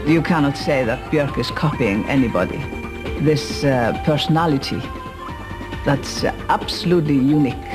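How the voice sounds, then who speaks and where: Mid-pitched speaker pausing with fillers. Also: over music. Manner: pauses with frequent uh fillers. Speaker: commentator. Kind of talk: documentary interview.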